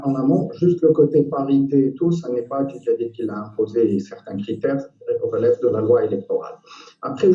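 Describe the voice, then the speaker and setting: A man from Algeria speaking as an election candidate in a debate, measured and searching for words, on muffled, distant, echoey audio. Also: mid-range voice, mellow. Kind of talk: political debate, spontaneous argument.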